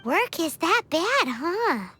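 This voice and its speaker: A woman voicing a tiny, cheeky, childlike fairy companion in a video game, squeaky and blunt. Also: sing-song, slow pace, pushed and bright, faint music behind, exaggerated voice. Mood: blunt, curious.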